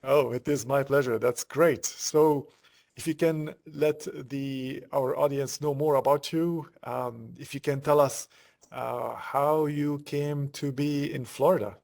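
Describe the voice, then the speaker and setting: A man hosting a conversational online interview, pausing often in the middle of his questions. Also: medium pitch, steady tone, vocal fry, darkish tone. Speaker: interview host.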